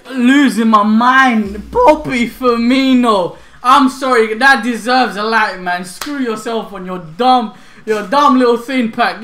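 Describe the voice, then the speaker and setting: A young man giving hyped, slangy commentary while opening game packs at home. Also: sits high. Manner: hyped, shouting and laughing, slangy banter. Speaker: gaming YouTuber. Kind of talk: excited pack-opening commentary. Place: small home room, indoors. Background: faint background music.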